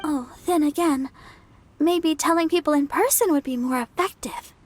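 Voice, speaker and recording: Woman playing a very high, sweet young girl. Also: moderate pace, slight background noise, lightly acted. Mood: earnest, thoughtful. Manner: gentle, earnest, slightly bashful. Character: sweet, earnest young church idol girl, modest and helpful. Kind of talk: video game cutscene acting.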